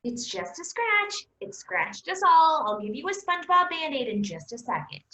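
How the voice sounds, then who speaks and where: A woman's voice: high-pitched, sing-song, moderate pace, sharp tone, echoey room, heavily acted. A woman playing a spirited, exasperated young woman who protects her friends, in a staged reading of a comedy. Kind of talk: staged reading of a comic play.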